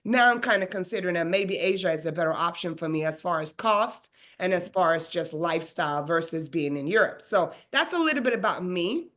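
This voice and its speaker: A woman's voice: fairly low pitch, expressive, fairly quick, hardly any pauses, a bit bright. A woman from Sweden, a realtor and business coach, hosting a webinar over a video call in a casual, upbeat, chatty way. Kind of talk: presenting a webinar.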